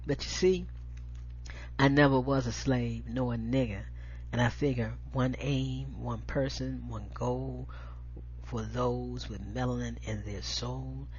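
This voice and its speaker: An American woman with a husky voice, hosting and reading spoken-word poetry with an emphatic, deliberate delivery. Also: a bass voice, fairly even intonation, a little mellow, a little hiss or hum. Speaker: show host and poetry reader. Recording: dull lo-fi audio.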